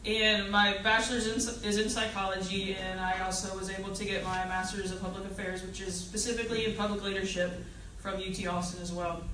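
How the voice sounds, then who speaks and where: A middle-aged woman introduces herself as a candidate at a public forum, speaking in a halting, personable way. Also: medium pitch, little pitch movement, bright, forceful tone. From Fayette County, Texas, United States. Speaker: political candidate. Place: public meeting hall, indoors. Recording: distant echoey room, faint noise.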